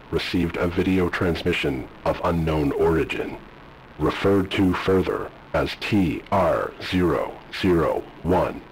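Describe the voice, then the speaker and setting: Briefing officer for a found-footage horror piece: very deep, slow, flat official delivery, faint hiss behind. Played by a man. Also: poor audio. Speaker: briefing narrator. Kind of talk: scripted briefing narration.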